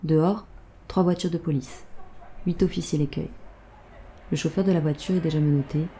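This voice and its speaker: A woman's voice: deep voice, steady tone, a little fast, dark tone, slightly rough. A woman narrating a scripted audio drama in terse, present-tense storytelling.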